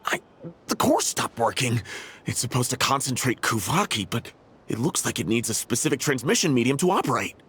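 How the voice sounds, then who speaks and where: An actor playing a nervous, apologetic engineer in a video game, explaining things hesitantly. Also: male voice, mid-range voice, very animated, fairly quick, fairly bright tone, a little hiss or hum. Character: nervous, apologetic engineer serving a demanding boss.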